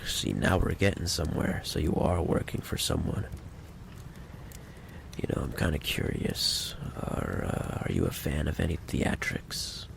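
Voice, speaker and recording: A man playing a menacing, possessive mafia boss in an ASMR roleplay monologue, with a low, gravelly voice and a deliberate, coolly condescending delivery. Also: very animated, background noise, full character voice. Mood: teasing menace.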